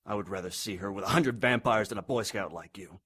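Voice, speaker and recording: An actor playing a haughty, scheming, dying old man in an audio drama, formal and indignant, often clearing his throat. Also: on the low side, fairly quick, fairly bright tone. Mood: cold, scheming. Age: older. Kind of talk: audio drama villain acting.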